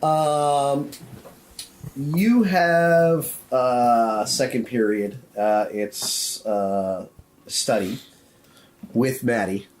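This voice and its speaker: A man narrating a tabletop horror game as game master, halting and pausing as he goes. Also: medium pitch, a little mellow, a little hiss or hum.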